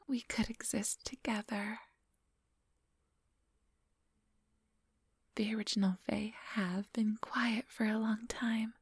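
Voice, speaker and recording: A woman playing a coolly menacing, coaxing vampire hypnotist in a soft whispered ASMR roleplay, close to the microphone. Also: medium pitch, breathy edge, dry recording. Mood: sly, musing. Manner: slow, coaxing, hypnotic instructions. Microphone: close microphone, dry.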